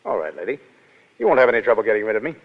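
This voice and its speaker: Brisk, lively legionnaire, vintage radio. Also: male voice, fairly low pitch. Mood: weary, wry. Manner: casual, laconic, stubborn. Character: brash, world-weary young Foreign Legion private. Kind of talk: 1930s radio drama acting. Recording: dull, noisy 1930s radio broadcast.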